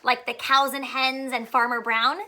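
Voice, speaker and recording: Woman teacher for children's read-aloud: high, bright voice, expressive, pauses to explain and ask simple questions.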